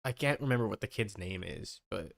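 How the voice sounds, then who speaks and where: A man chatting casually on a livestream, rambling and thinking out loud. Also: lowish voice, animated, brisk, creaky. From Canada. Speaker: streamer.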